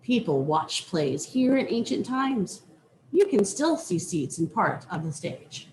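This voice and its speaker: A woman reading a children's nonfiction book aloud with deliberate, teacherly phrasing. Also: fairly low pitch, darkish tone, a little hiss or hum, poor audio. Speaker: reader.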